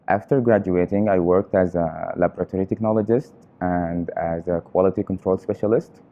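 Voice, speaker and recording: A young man from Qatar, a research trainee, answering interview questions in an earnest, halting way with frequent pauses. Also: low voice, narrow, tinny audio. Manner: earnest, halting, often pausing on fillers.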